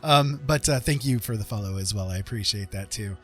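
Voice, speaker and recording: A man's voice: on the low side, fairly quick, a bit bright. A man hosting a livestream in casual chat with viewers, effusive with his thanks. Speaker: livestream host. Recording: music playing behind the voice. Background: music.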